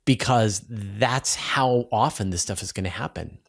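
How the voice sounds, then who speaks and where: A man's voice: on the low side, measured pace, vocal fry, slightly rough. Enthusiastic man hosting a science discussion. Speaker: host of a space science show.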